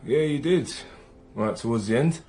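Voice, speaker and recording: A man's voice: medium pitch, moderate pace, dark tone, soft background music, close to an everyday voice. A man, an actor playing an easygoing, pragmatic junior detective in a radio drama, casual, wry and matter-of-fact. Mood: mildly put out. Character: easygoing, pragmatic male junior detective, mildly exasperated partner. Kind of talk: naturalistic radio drama acting.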